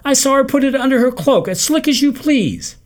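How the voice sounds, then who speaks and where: A man voicing an excitable young male shop worker who exclaims his news. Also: lowish voice, extremely slow, slightly rough, exaggerated voice. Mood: excited.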